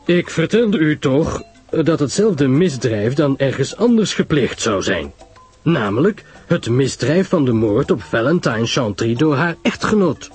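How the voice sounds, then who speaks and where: A man voicing a shrewd, courteous, self-assured detective in an audiobook story, husky, deliberate and emphatic in explaining. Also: mid-range voice, big pitch swings, a little mellow, soft background music, exaggerated voice.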